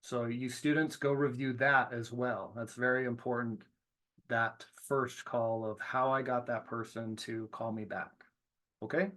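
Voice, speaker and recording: A man's voice: mid-range voice, steady tone, measured pace, fairly bright tone. A man teaching students in an informal, self-deprecating way, often checking in as he comments on his lesson. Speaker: trainer teaching students about cold calls. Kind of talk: casual video lesson with commentary.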